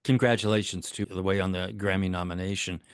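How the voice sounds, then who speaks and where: A man with a fairly low voice puts short, friendly questions to his guest. He is heard through a video call. The sound is dry, with no room around it. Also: steady tone, fast talker, no echo. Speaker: interviewer and producer. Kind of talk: casual video-call interview.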